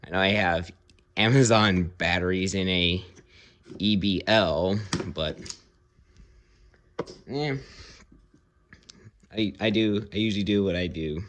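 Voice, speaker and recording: A man giving a casual, unscripted review of his hobby collection, talking haltingly and pausing mid-phrase. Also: deep voice, fairly even intonation, a bit bright. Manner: halting, rambling delivery with pauses mid-phrase.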